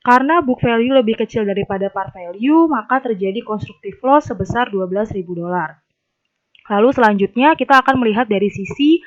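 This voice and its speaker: A young adult woman giving methodical, step-by-step instructional narration as a tutor. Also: on the high side. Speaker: accounting tutor. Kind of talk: instructional narration of a worked problem. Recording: dull, lo-fi voice recording.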